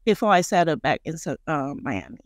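A woman in her forties from Malaysia with a Malaysian Chinese accent, a native Chinese speaker, talking casually and haltingly as a podcast guest on a close studio mic. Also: sits low, big pitch swings, no room sound. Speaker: guest chef and culinary instructor. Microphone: close studio microphone on boom arm.

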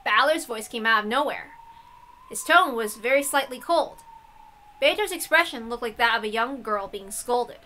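A woman's voice: fairly high pitch, animated, moderate pace, bright, forceful tone, a little hiss or hum. A woman narrating a visual novel aloud, with casual asides between the scripted lines. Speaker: reader and narrator.

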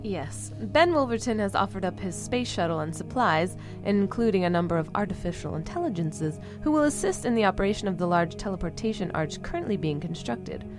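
A woman's voice: mid-range voice, animated, brisk, few pauses, music in the background, natural voice. A woman playing a sardonic female android who hosts a broadcast in an audio drama, wry and formally articulate. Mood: dry, questioning. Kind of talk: audio drama, talk-show hosting.

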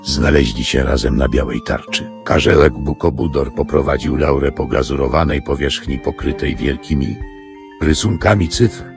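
A man's voice: very deep voice, moderate pace, gravelly. A man narrating a scripted fairy tale as the storyteller of an audio drama. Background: music.

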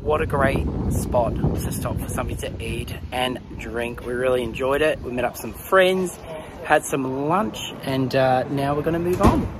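A man's voice: mid-range voice, normal speed. A man in his thirties with an Australian accent, chatting casually as he shows a country town on a walking tour vlog, filmed on a phone held at arm's length. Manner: chatty, easygoing, explains as he films. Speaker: travel vlogger. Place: outdoors in a country town street. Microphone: phone camera at arm's length. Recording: outdoor street noise behind.

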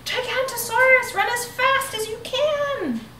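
A woman voicing a boastful young male dinosaur who pranks his friends, with shrill excited shouting. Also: a little slow, slight background noise, heavily acted. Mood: alarmed shouting. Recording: echoey room, mic at a distance.

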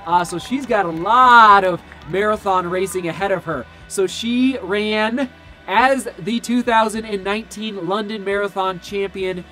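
A man in his thirties, a little hoarse and tired, breaking down race results to camera in casual, rambling, enthusiastic talk from a small home studio. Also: high voice, unhurried, pushed and bright, faint music behind. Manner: enthusiastic, rambling with fillers and self-corrections. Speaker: running vlogger. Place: small home studio with shoe brand boxes.

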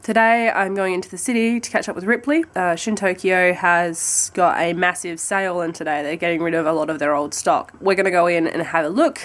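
Sick aussie girl in her 20s, husky and lowish voice, rambly chatty vlog at home on a close camera. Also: steady tone, brisk, hardly any pauses. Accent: Australian. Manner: chatty and rambling, with light laughs. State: husky from a cold. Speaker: vlogger. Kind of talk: casual vlog chat to camera. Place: at home, indoors, by a window. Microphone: handheld camera close to the face.

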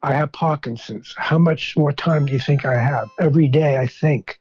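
A man in his sixties with a thick New York accent and a gruff voice, chatting casually over the phone. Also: fairly high pitch, moderate pace, a little mellow, soft background music. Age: 60s. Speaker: podcast guest, rock fan. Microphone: phone call. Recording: dull, lo-fi phone line.

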